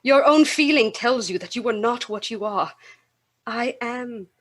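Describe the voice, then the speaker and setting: An actress playing a sorrowful, gentle young Victorian woman in a radio drama. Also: on the high side, measured pace. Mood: grave, hurt. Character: Belle, sorrowful gentle young woman releasing her betrothed.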